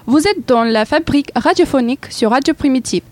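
A woman's voice: high voice, expressive, a little fast, darkish tone, no room sound. A woman student presenting a school radio show, reading her introduction carefully. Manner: careful, read-aloud delivery.

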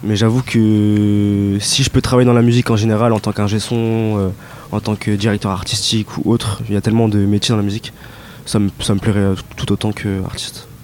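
A young man giving casual, hesitant interview answers with lots of fillers and relaxed slang. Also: fairly low pitch, a little fast, slight background noise. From Italian descent, raised in France. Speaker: rapper interviewed by students.

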